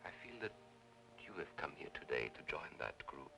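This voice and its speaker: An actor playing a smooth, controlling spiritualist medium, speaking in measured, theatrical phrases in a mid-century film noir style. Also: male voice, a bass voice, very animated, music in the background, exaggerated voice. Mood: smooth, persuasive. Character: smooth, solemn male spiritualist medium, softly persuasive and controlling. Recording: old film soundtrack, noisy background hiss.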